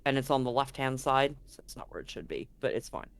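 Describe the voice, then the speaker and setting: Game master with a mid-pitched voice. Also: animated, fast talker, vocal fry, clear, resonant tone. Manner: conversational, explanatory. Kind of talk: explaining a tabletop roleplaying game.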